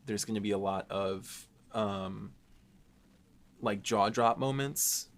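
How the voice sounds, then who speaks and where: A man with a moderately low voice chats casually as a podcast co-host. He is eager and informal, talking things up as he goes. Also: slow. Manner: eager, informal, hype-building. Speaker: podcast host and series writer. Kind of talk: casual behind-the-scenes chat.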